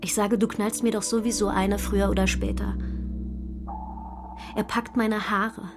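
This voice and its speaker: A woman's voice: medium pitch, little pitch movement, measured pace, music in the background, natural voice. An actress playing a terse, composed woman narrating her private diary in a radio drama. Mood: terse, controlled. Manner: clipped, matter-of-fact.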